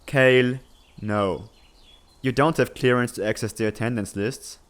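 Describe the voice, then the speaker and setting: A man playing an earnest, responsible, tech-savvy teenage student in a comic fan dub, speaking in a measured, slightly formal, exasperated way. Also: mid-range voice, natural voice. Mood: dry, composed.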